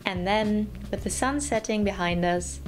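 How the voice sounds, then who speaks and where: A young woman giving a calm voice-over recap of a travel day. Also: mid-range voice, unhurried. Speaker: travel vlogger. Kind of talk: voice-over narration. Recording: music bed underneath.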